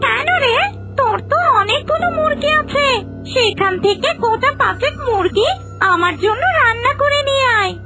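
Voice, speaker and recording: Very shrill, bossy ghost woman. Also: animated, moderate pace, music in the background. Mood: bossy, threatening. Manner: bossy, scolding, threatening. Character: bossy greedy female petni ghost, avenging and always hungry. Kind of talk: Bengali cartoon voice acting.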